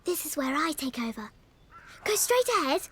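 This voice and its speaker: A woman's voice: high-pitched, very animated, moderate pace. An English actress playing a brave, polite, bossy young girl, eager and well-spoken, in a dramatized audiobook. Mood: bossy, excited.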